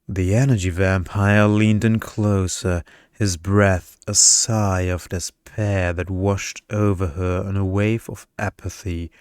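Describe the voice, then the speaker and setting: A man narrating a story in a deliberate, deadpan ASMR style meant for sleep, close to the microphone. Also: sits low, smooth, no echo.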